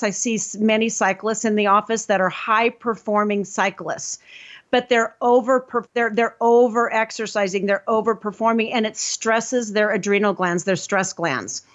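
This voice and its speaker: A woman's voice: medium pitch, normal speed. A woman hosting a health podcast, talking in an emphatic, lecturing way with easy laughs. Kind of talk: casual health talk. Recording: dull lo-fi audio.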